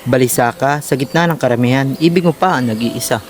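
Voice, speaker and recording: A man playing an old rural grandfather in a radio drama, reminiscing fondly in an unhurried way. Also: medium pitch, a little hiss or hum, lightly acted. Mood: fond, reflective. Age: older.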